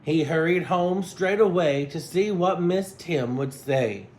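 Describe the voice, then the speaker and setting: A man's voice: fairly high pitch, lively intonation, unhurried, darkish tone, echoey room, poor audio. A man reading a rhyming picture book aloud as a teacher, rhythmically, with asides to his listeners.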